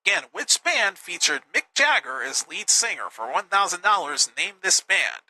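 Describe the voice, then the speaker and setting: A man hosting a live game show with an upbeat, teasing manner, a little hoarse. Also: high voice, big pitch swings, normal speed, pushed and bright, low-quality recording. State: slightly hoarse.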